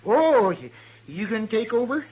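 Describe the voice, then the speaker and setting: An actor playing a shaky, stubborn old man in a 1950s radio western, speaking in a halting, folksy, stammering way. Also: high-pitched, big pitch swings, slightly rough, dull audio, exaggerated voice. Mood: wary, halting. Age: older. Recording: old radio recording with faint noise.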